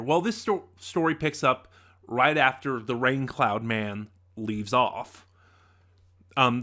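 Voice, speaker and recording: A man hosting an unscripted review channel, talking in a chatty, animated way with rambling asides. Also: mid-range voice. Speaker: podcast reviewer.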